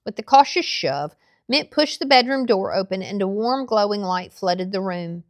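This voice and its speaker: A woman narrating a children's novel aloud in a measured, expressive storytelling style. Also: mid-range voice, dry recording.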